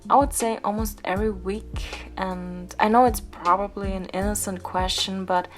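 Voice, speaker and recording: A woman's voice: mid-range voice, fairly even intonation, normal speed. A woman from Austria, a native German speaker, rambling casually in a craft vlog voice-over. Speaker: craft vlogger. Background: background music.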